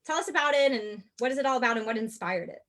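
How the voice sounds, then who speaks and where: A woman's voice: a little high, expressive, fast talker, a bit bright. A woman hosting an interview, upbeat and full of praise, with frequent fillers. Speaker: interview host, acting coach.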